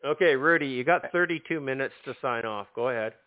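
A man hosting a casual sports podcast, hoarse and losing his voice, often repeating words as he starts a sentence. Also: mid-range voice, fairly quick, no room sound. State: losing his voice, sore throat. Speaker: podcast host. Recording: dry tinny phone-like line.

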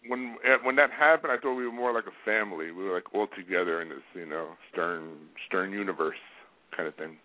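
A man's voice: low-pitched, fairly quick, dry recording. A man co-hosting a radio show in casual chat, his voice thickened by a cold, over a phone line. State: has a cold, voice deeper than usual. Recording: narrow phone-line sound.

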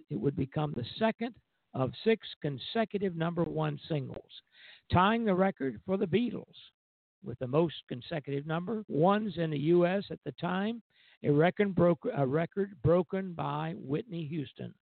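A man hosting a music radio show, reading history notes aloud in a halting way and stumbling now and then. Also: a little high, lively intonation, vocal fry, dry recording. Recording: tinny narrow phone-line sound.

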